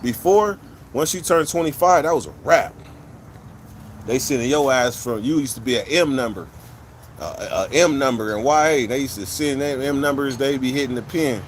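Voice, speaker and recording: A man's voice: medium pitch, moderate pace, slight background noise. A man from California, hosting a livestream and chatting casually with viewers in blunt, profane street slang, rambling from one story to the next. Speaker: livestream host.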